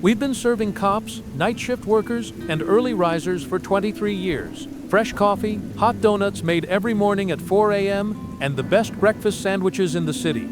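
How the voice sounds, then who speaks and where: High-voiced folksy shop owner ad, acted by a man. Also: normal speed, music in the background, close to an everyday voice. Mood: upbeat pitch. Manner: folksy sales pitch. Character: friendly neighborhood donut shop owner pitching his store. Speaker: donut shop advertiser. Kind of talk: local radio commercial in an audio drama.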